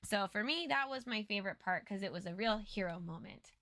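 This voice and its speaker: A woman's voice: mid-range voice, expressive, a little fast, fairly bright tone, dry recording. A woman co-hosting a recap show, giving casual commentary in an earnest, explanatory way. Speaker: co-host of a story recap show.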